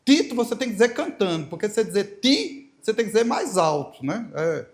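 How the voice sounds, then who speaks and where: A man's voice: sits high, big pitch swings, a little fast. A middle-aged man from Paraíba, Brazil, with a Paraíba accent, preaching to a congregation, storytelling with playful asides and emphatic repetition. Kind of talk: church talk with Bible reading.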